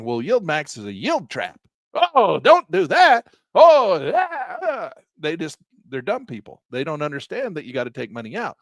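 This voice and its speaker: Older male educator, lively and exasperated, dry close recording. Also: no echo. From Southern Utah. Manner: animated and exasperated, mocks critics in a high squeaky voice, drops low to grumble. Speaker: trading educator. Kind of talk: live-stream trading lesson, off-the-cuff rant.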